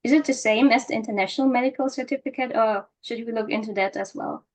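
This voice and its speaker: A woman's voice: on the high side, quick pace. A woman on a video call politely asking a question. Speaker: participant.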